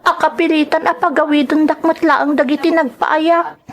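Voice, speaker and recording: A woman plays a troubled high school daughter in a radio-style drama. Her voice is very high, and she pleads in an earnest, plaintive way, as a dutiful girl would. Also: fairly even intonation, moderate pace. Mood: worried, pleading. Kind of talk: dramatic Ilocano radio-style serial acting.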